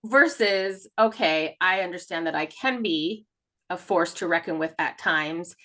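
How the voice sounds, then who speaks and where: A woman's voice: fairly low pitch, unhurried. A woman in casual, confessional conversation as a podcast co-host, talkative and candid, often acting out dialogue. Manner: talkative, animated retelling with acted-out dialogue; candid, swears freely.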